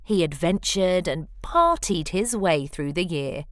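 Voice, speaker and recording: Animated woman reading a children's storybook. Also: lowish voice, no room sound, clean studio sound. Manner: dramatic, playful, deliberate phrasing. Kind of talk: expressive rhyming storybook read-aloud.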